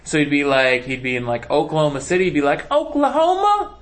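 A male comedian trading wisecracks on a podcast, often cutting in on the others. His voice sits a bit high and bounces all over as he talks. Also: very animated, brisk. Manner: wisecracking, interrupting. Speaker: comedian guest. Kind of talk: casual comedy banter.

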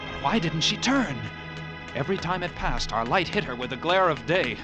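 An actor playing a lighthouse keeper narrating a sea tale in a measured, vivid, dramatic radio-drama style. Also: male voice, on the high side, animated, over music, natural voice. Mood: suspenseful. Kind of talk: classic radio drama first-person narration.

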